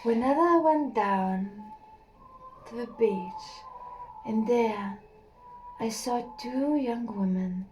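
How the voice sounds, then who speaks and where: A young woman reading a literary story aloud in a slow, hushed, measured way, with an occasional slip. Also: a little high, a little hiss or hum, low-quality recording. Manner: slow, hushed and measured, with a few slips and laughs. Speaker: audiobook reader.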